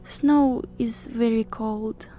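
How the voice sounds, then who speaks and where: A woman's voice: fairly high pitch, animated, a little slow. A woman voicing a fussy, affectionate young female harpy who talks in broken third-person speech in a fantasy audio story. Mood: pleading, shy.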